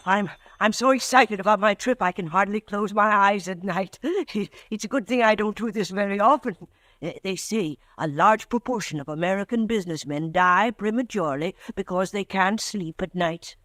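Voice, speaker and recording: A man's voice: high voice, normal speed, bright, forceful tone, no echo. An actor playing a timid, fussy little man who stammers politely, in 1940s radio acting. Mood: excited. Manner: stammering, polite, over-eager. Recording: dry old radio broadcast.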